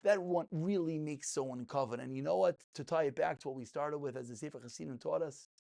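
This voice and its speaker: A man's voice: fairly high pitch, fairly quick, vocal fry, a little mellow. A man giving a passionate, informal religious lesson as a rabbi, emphatic, full of rhetorical questions and repeated phrases.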